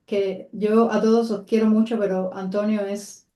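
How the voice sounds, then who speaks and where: A woman hosts an informal panel discussion. Her voice is mellow and relaxed, of middling pitch, with clear room echo around it. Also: normal speed. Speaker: host, moderator. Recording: roomy, echoing sound.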